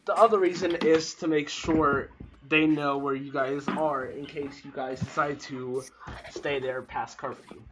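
A man's voice: a little high, steady tone, measured pace, background noise. A man running a tabletop game as game master, explaining rules and narrating at length. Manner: explanatory, patient, talks through interruptions.

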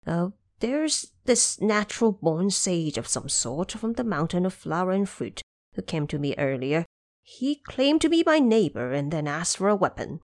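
A woman's voice: lowish voice, no echo. A woman voicing an anxious, courteous old male dragon king in an audio drama, pleading and hesitant.

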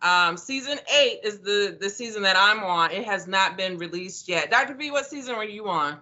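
Chatty woman podcast host, bright voice. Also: mid-range voice, expressive, normal speed, highs cut off. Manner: chatty, rambling with many restarts. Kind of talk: casual podcast chat.

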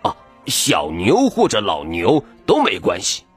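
Man doing a calm old elder, deep and firm, breathy-ish, soft music under it. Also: heavily acted. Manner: low, slow, firm commands. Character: calm wise old village elder, commanding.

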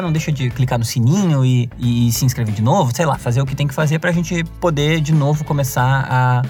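Young adult male podcast host, southern Brazilian accent, relaxed rambling delivery, faint music bed. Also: medium pitch. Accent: southern Brazilian (gaúcho). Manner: conversational, many fillers and self-restarts. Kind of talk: casual podcast monologue.

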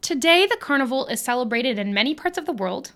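An American woman co-hosting a podcast, reading prepared history facts in a clear, upbeat way. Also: high voice, animated, fast talker, a bit bright. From United States. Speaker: podcast co-host. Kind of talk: scripted podcast narration.

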